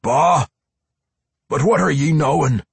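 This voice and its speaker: A man voicing a gruff, tormented dwarf vampire for a fantasy story, growling and exclaiming in rustic old-fashioned speech. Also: fairly high pitch, sing-song, slow pace, dry recording, voice pushed up, heavily acted. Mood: defiant.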